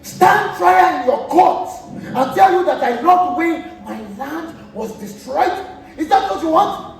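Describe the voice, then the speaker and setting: Young guy doing a proud defiant nigerian man on stage, very animated, far from the mic. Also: very high-pitched, unhurried, vocal fry, exaggerated voice. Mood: outraged. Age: young adult. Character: proud, defiant male defender of tradition. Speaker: student stage actor. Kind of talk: declamatory stage acting. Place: theatre stage, recorded from afar. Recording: distant, echoey theatre with noise.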